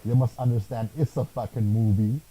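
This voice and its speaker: Husky, dark-voiced man in casual chat. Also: mid-range voice, brisk, poor audio. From Thailand. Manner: swears a lot, animated and joking. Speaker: podcast co-host.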